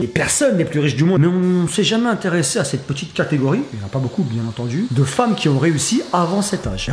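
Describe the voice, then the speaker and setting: A man's voice: fairly high pitch, darkish tone. A young man hosting a video countdown, reading a scripted list with casual, joking asides to the viewer. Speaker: YouTube host. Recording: echoey room with background music. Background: music bed.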